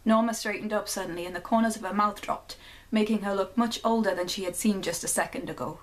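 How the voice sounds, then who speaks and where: A young Geordie woman talking straight to her viewers in a loose, chatty way, full of ums and likes. She laughs at her own slips and then settles into reading a short story aloud at a middle pitch. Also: steady tone, fast talker, poor audio. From North East England. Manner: chatty, laughs at herself, says um and like. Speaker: vlogger.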